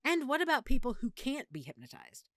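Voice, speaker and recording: An affectionate girlfriend with strong opinions and a nerdy streak, acted by a woman for an audio roleplay. Her pitch moves animatedly as she explains things in a playful, fussy way. The sound is dry and intimate, like a one-sided chat between partners. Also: huge pitch swings, brisk, fairly bright tone, no room sound, heavily acted. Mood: animated, opinionated.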